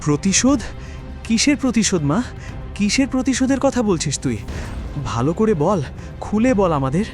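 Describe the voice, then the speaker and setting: A man's voice: high-pitched, big pitch swings, moderate pace, long pauses, a little mellow, faint music behind. A man playing a devout, frightened village temple priest in an audio horror story, pleading and theatrical. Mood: fearful, demanding.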